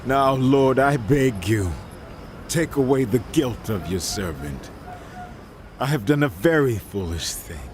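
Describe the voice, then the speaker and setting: A man playing an earnest ancient king in an audio Bible drama, stately and deliberate. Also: medium pitch, expressive, slight background noise. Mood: anguished, penitent.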